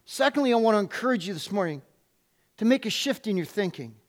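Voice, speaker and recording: A man pastor preaching a sermon in a loud, emphatic voice, repeating his questions and dropping playful asides. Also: high voice, animated, fast talker.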